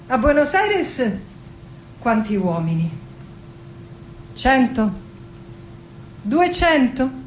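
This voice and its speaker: A woman's voice: on the high side, slight background noise, low-quality recording. An actress playing a proud, passionate, defiant woman poet from Argentina in a theatrical monologue, declaiming dramatically and lingering on her words. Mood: wistful, proud. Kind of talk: theatrical poetic monologue with music. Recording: voice over live musical accompaniment. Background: music with accordion and guitar.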